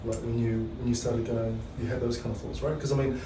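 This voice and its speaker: A man's voice: mid-range voice, level tone, brisk, echoey room, far from the mic, noisy background. A man with a New Zealand accent hosting a casual podcast interview, joking easily and mixing Māori words into his talk. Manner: laid-back, joking, many fillers, mixes in Māori words. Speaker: podcast host.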